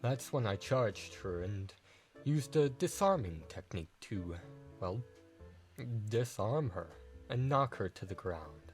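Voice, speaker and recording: A man's voice: fairly low pitch, animated, takes it slowly, soft background music. A man playing a smug, theatrical writer on the witness stand in an audio drama, with a suave, taunting and grandiloquent manner. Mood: composed testimony.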